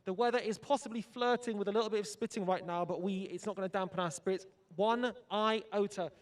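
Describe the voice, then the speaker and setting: An upbeat young man rattles off quick, hyped questions to fans at an outdoor fan zone. He talks fast and jokes with the people he meets. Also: high-pitched, steady tone. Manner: upbeat, hyped, jokey with guests. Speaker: roving fan zone presenter. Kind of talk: energetic outdoor broadcast chat.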